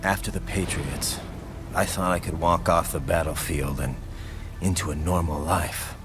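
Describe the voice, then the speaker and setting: An actor playing a grim cyborg swordsman in a video game, a born killer, with a gravelly, breathy growl and slow, menacing delivery. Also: low voice, fairly even intonation, over music, close to an everyday voice. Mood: bitter, brooding. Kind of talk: dramatic video game cutscene acting.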